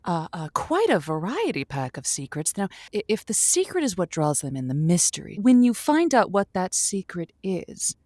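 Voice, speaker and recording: A woman playing an earnest advice host on a comedic audio call-in show, speaking with gentle, hesitant stammers. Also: fairly low pitch, sing-song, moderate pace, hardly any pauses, no echo, clean studio sound. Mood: thoughtful. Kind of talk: comedic audio drama radio host acting.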